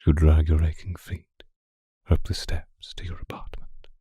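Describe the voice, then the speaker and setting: Casting: male actor for obsessive vampire, extremely low and dark voice, predatory yet courtly, intimate ASMR roleplay, often whispering. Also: completely flat delivery, no echo, full character voice. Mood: watchful, intimate. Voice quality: very deep, husky, often breaking into whisper. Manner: slow, intimate, menacingly courteous, drawn-out phrases.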